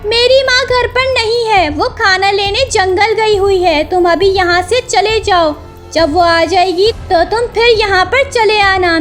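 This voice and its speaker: Casting: bold little cartoon chick played by a woman, squeaky high and childlike, faint music behind. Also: a bit bright. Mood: wary. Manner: pleading, scolding, childlike. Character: small young female bird chick, anxious but bold.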